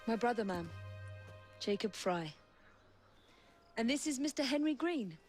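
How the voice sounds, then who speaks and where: An actress voicing a poised young woman assassin in a game cutscene, composed and quietly wry. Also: a little high, expressive, unhurried, soft background music. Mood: calm. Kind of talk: video game cutscene acting.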